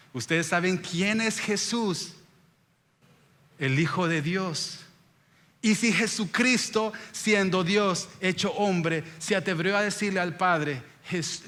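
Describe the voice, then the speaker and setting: Salvadoran pastor preaching in a reverberant hall. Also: male voice, high-pitched, sharp tone. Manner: direct address with rhetorical questions and repetition. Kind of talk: sermon. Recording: long reverberant hall sound.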